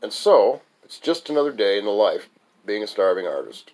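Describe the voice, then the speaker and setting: A man with a fairly low voice recites a piece of his own autobiographical prose. He reads as the author, in a scripted storytelling style, now and then tripping over a word and picking it back up. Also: big pitch swings, moderate pace, darkish tone, rough recording. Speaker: author reading own writing aloud. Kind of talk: scripted first-person narrative reading, recitation.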